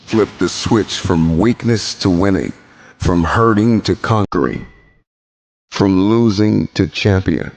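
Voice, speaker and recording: A man giving a motivational speech in emphatic, deliberate phrases with rhetorical repetition. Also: deep voice, gravelly. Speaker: motivational speaker.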